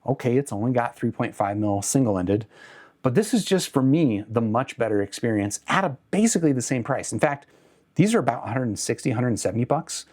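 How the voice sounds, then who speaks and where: A man with a medium-pitched voice hosts an unscripted product review. He talks in a conversational way, qualifying his points and correcting himself along the way. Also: lively intonation, fairly quick, darkish tone. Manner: conversational, hedging, self-correcting. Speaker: host of an audio gear review channel.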